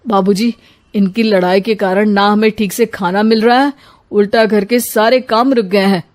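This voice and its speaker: A woman's voice: mid-range voice, fairly even intonation, normal speed, a little mellow, natural voice. A woman voicing a puzzled, complaining adult son in a family story, plaintive and questioning.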